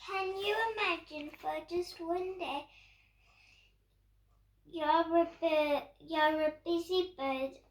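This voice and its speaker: A woman's voice: extremely high pitch, steady tone, slow pace, pauses often, slight background noise. A young girl with a British accent reading a picture book aloud in a playful storytime style. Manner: playful, acts out bird calls and actions. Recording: roomy echo, distant microphone.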